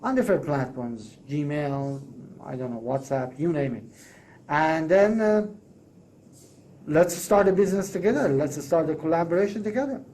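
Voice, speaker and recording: Emphatic man pitching. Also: expressive, normal speed, a little mellow, a little hiss or hum. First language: Persian. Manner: emphatic and persuasive, self-correcting restarts. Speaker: course creator pitching a proposal. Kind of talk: solo spoken pitch to camera.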